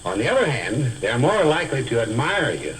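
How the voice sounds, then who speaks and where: Animated male lecturer, hissy old film. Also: mid-range voice, low-quality recording. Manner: deliberate, carefully enunciated, didactic. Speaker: speech teacher. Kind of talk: scripted instructional film lecture.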